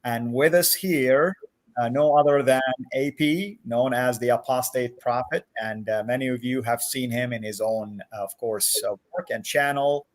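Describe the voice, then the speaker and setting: A man hosting a livestream interview over a video call, friendly, with many filler "uh"s and animated questions. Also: medium pitch, moderate pace. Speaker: host.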